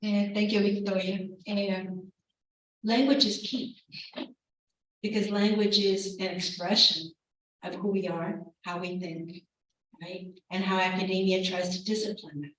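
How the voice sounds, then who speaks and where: A woman professor giving a keynote and then answering questions, speaking in a measured, reflective way with soft laughs between points. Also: mid-range voice, steady tone. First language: English. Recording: very echoey hall, distant mic.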